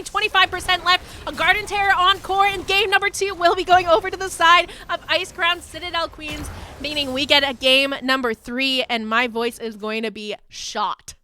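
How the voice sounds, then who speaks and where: A woman esports caster giving live play-by-play game commentary with an excitable, urgent delivery. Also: very high voice, a little hiss or hum.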